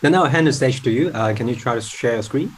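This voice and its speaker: A man hosting an online talk session, introducing the speaker in a casual, halting way. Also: mid-range voice, expressive, slight background noise. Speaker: event host introducing speaker. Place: online video call.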